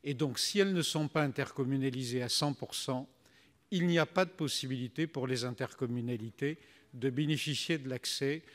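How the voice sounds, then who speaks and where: A man's voice: medium pitch, fairly quick. Man making formal speech in echoing chamber. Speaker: senator asking a question. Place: large parliamentary chamber. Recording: echoey reverberant chamber.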